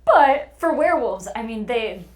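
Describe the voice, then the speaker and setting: Woman, higher register, expressive guest in a relaxed, informal group conversation. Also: a little slow, over a noisy crowd. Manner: animated, expressive. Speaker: voice actor guest. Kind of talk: casual panel chat.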